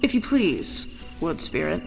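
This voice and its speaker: A man playing a starving human outlaw in a fantasy audio drama, wary and desperate, speaking slowly and haltingly. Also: fairly high pitch, sing-song, vocal fry, big-room sound, over music, full character voice. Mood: weary, guarded. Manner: slow, hesitant, halting.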